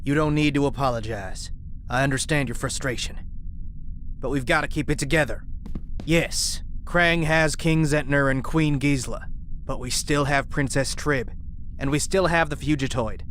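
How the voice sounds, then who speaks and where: A man's voice: mid-range voice, normal speed, fairly bright tone, lightly acted. Man playing a stern, firm military commander. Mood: resolute. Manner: authoritative, firm, rallying. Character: stern, steadfast male military resistance commander. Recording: faint background noise.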